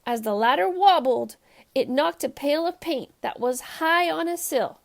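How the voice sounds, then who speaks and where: A woman who hosts a children's read-aloud show, narrating a storybook in an expressive, emphatic way for young listeners. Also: high voice, a little slow, creaky.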